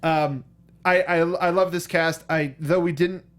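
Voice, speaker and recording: A man's voice: a little high, fairly even intonation, unhurried. A man chatting casually and enthusiastically as a geeky co-host of a movie review show. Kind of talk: casual fan discussion.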